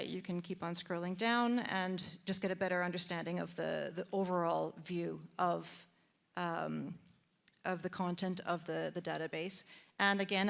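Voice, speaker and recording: A woman with a Canadian accent presenting at a conference, guiding the audience through a website with frequent fillers and restarts. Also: medium pitch. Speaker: presenter demonstrating a database. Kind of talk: live panel presentation, guiding a screen walkthrough. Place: conference room.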